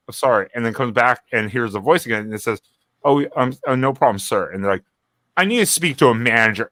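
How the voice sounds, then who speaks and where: A man's voice: mid-range voice, fairly bright tone, no echo. A man co-hosting a casual evening livestream hangout, rambling and often restarting his sentences. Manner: rambling, repeats words and restarts. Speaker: co-host.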